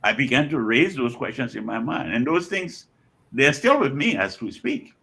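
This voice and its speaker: An older man in his seventies or beyond with a Liberian accent, speaking reflectively as an interview guest in measured, deliberate phrases with pauses and repetitions. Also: mid-range voice, very animated, creaky, sharp tone, slightly rough. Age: 70s or older. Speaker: guest author and scholar.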